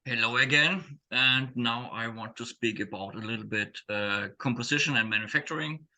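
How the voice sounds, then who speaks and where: A man from Germany giving a webinar presentation in English, halting and searching for words with many fillers. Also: mid-range voice, sharp tone. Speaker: accordion shop owner and presenter.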